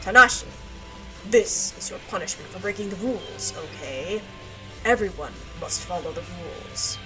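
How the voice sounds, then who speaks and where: A woman's voice: on the low side, expressive, normal speed, pushed and bright, soft background music, rough recording. A woman voicing a cold, stern adult staff member at an orphanage who scolds a child about breaking the rules.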